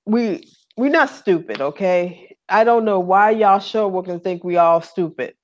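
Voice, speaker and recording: A woman's voice: medium pitch, measured pace. A woman co-hosting a podcast in casual chat, blunt and outspoken with informal grammar. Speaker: podcast co-host. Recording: muffled lo-fi audio.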